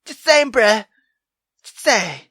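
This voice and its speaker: A man doing gaming commentary and reading story text aloud, excitable, slangy and sweary. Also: sits high, slow, slightly rough, no room sound, clean studio sound. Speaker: let's play host.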